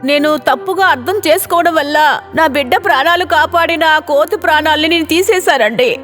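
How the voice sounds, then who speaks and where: A woman voicing a protective village mother in an animated story, speaking with strong emotion. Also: sits high, moderate pace. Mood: remorseful. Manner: emphatic, emotional delivery. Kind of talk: cartoon voice acting. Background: faint background music.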